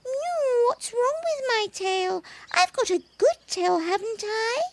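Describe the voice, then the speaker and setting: A woman's voice: very high voice, animated, slow, voice pushed up, heavily acted. A woman voicing a small, eager, whiny baby bush cat in a children's story, meowing between pleas. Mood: eager, pleading. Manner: meowing and purring between pleading phrases.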